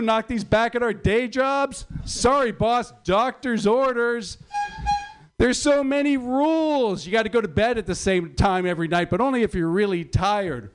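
A man's voice: sits high, clear, resonant tone. A middle-aged man performing a stand-up comedy set in a wry, sarcastic, profane complaining manner.

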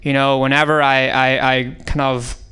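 Smooth-voiced man with a Chinese accent. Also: mid-range voice, little pitch movement, normal speed, pushed and bright. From China. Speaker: comedian riffing on prompts. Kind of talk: improvised comedy on audience prompts. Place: radio studio.

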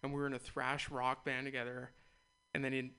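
Male radio host, mid pitch, bright tone, loose rambling chat. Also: completely flat delivery, a little fast, clean tone. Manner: loose, rambling, laughs easily. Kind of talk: casual interview chat.

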